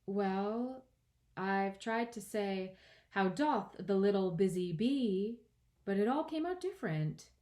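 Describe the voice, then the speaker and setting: A woman's voice: medium pitch, expressive, slow pace, darkish tone, pitched above the performer's own voice. A woman voicing a shy, polite young girl in a children's storybook, earnest and a little hesitant. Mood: downcast, confused. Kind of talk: children's storybook read-aloud.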